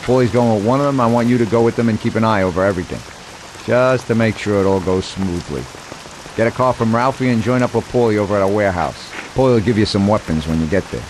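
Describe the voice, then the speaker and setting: A man playing a middle-aged mob advisor in a video game cutscene, calm and measured as he counsels. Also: fairly low pitch, noisy background, lightly acted. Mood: businesslike. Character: calm older mobster advisor, fatherly, cautionary, businesslike.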